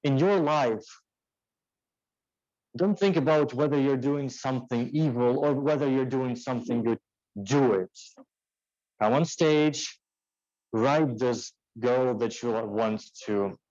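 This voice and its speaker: A man with a medium-pitched voice delivers an off-the-cuff club speech. He pushes his points with rhetorical questions and short commands. The sound is dry and a bit dull. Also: slow, pauses often, darkish tone, no echo. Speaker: impromptu speaker at a speaking club. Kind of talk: impromptu motivational speech.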